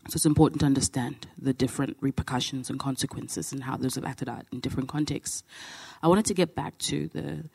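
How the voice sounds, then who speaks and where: A man's voice: medium pitch, fairly even intonation, a little fast, vocal fry, few pauses, a little mellow, breathy edge. A young man from Zimbabwe asks an earnest, searching question from the audience at a post-show talk, often restarting his thoughts. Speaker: audience member asking a question.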